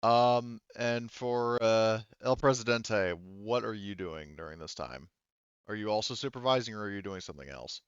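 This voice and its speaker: Casual man with a smooth, low voice. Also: dry recording, dull audio. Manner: halting, conversational, hedging. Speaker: game master. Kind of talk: improvised tabletop game narration, casual.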